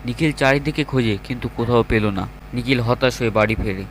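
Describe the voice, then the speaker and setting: Guy narrating a spooky horror story, mid pitch, bit of background noise. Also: fast talker, rough recording.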